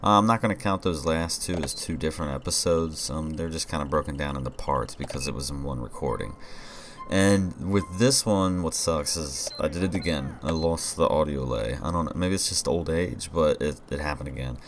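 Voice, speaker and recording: A young man with an extremely deep voice, stuffed-up and congested, rambles casually over his gameplay with plenty of fillers. He records into a home desk mic. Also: normal speed, slight background noise. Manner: rambling, relaxed, many fillers. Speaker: gaming YouTuber.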